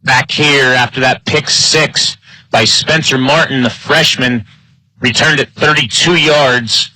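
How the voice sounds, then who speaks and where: A man's voice: medium pitch, fairly even intonation, takes it slowly, sharp tone, dry recording, poor audio. A man with an American accent doing live play-by-play commentary of an American football game. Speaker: play-by-play sports announcer.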